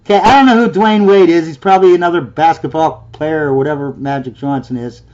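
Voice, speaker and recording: A man's voice: fairly high pitch, fast talker, darkish tone, muffled recording. A man hosting a reaction video, ranting in a sarcastic, scornful way and mocking the clip he watches. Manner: sarcastic, scornful, mimics in a whiny voice.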